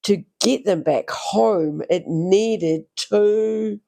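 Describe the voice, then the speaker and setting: A woman reading a rhyming children's picture book aloud in a theatrical, expressive way, drawing out phrases for young listeners. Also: medium pitch, extremely slow, gravelly. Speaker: children's story reader.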